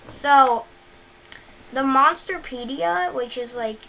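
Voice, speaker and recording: A woman's voice: high voice, slow, creaky, a bit bright. A young woman with a scratchy voice casually walking through a video game, rambling with lots of "like" and restarts. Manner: rambling, filler-heavy, frequent restarts. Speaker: gameplay guide host. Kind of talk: casual gameplay walkthrough. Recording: noisy home recording.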